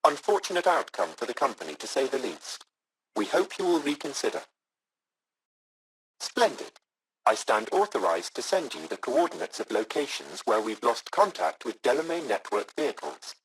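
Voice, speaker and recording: An actor playing a courteous AI subroutine of a taxi company in a video game, speaking with formal, measured customer-service politeness. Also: male voice, medium pitch, very animated, fairly bright tone, husky, heavily acted, poor audio. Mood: formal, polite.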